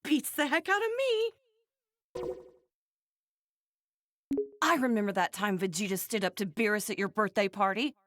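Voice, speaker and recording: A woman's voice: mid-range voice, lively intonation, a little slow, pauses often, fairly bright tone, no room sound, studio quality. A woman playing a spirited, blunt, proud country wife and mother in an anime video game, frank and folksy. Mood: amazed, admiring. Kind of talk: scripted anime game voice acting.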